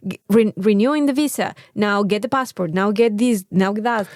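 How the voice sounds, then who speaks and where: A woman's voice: medium pitch, normal speed, darkish tone, no echo. A woman with a Mexican accent, a native Spanish speaker, talking candidly and casually as a podcast co-host and repeating phrases for emphasis.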